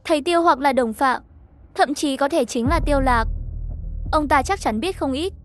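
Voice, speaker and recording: A woman's voice: high-pitched, normal speed, a little hiss or hum. A woman reading a scripted story, voicing the narration and all the dialogue herself. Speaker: narrator of an animated horror story recap.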